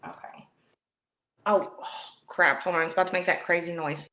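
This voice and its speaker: A woman's voice: fairly low pitch, fairly even intonation, normal speed, pushed and bright. A woman hosting a casual livestream chat, chatty, with lots of fillers and restarts. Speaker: livestream host.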